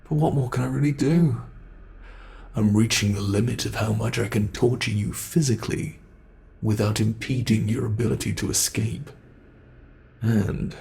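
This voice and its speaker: A man playing a sadistic monster in an ASMR horror roleplay, taunting captive prey with slow, savoring menace and a gravelly, creaky voice. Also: mid-range voice, mellow. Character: sadistic male monster gloating over captive human prey.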